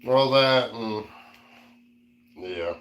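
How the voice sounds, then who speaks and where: A man's voice: on the low side, extremely slow, fairly bright tone, roomy sound. A man in his forties co-hosting a casual live-stream chat, easygoing and reading out viewer comments. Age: 40s. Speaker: co-host.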